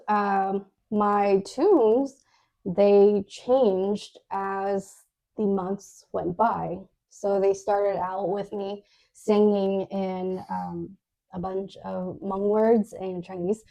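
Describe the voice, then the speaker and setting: A woman's voice: medium pitch, steady tone, extremely slow, vocal fry, warm tone. A woman co-hosting a podcast, telling her story in a casual, hesitant way, with many fillers, restarts and little laughs. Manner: laughs often while talking. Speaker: podcast co-host.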